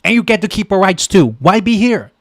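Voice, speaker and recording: Man, high voice, dry close mic, heated repetitive streamer rant. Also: animated, a little fast, dry recording. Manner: impassioned, repetitive, thanks chat viewers by name. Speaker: political livestream host. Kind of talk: heated political rant on a livestream.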